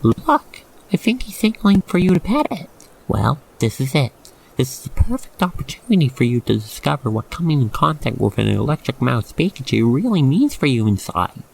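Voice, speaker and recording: A man guiding the listener through an ASMR roleplay in expressive, theatrical, wordy storytelling narration. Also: medium pitch, moderate pace, gravelly, breathy edge, poor audio.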